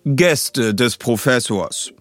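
A man's voice: mid-range voice, expressive, very slow, no echo, pitched above the performer's own voice. A haughty butler in his late forties, acted out by a male narrator. He speaks with stiff, condescending formality, in an audiobook reading with music. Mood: formal, deferential.

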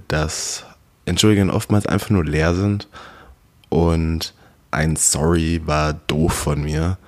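Deep gravelly man, earnest podcast co-host. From half American, half German, born in Germany. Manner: earnest, explains step by step. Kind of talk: casual podcast chat.

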